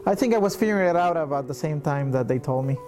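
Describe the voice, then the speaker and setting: A man in his twenties from El Salvador, a native Spanish speaker, talking in a reflective, soft-spoken way in an interview. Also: a little high, animated, fast talker, over music. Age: 20s.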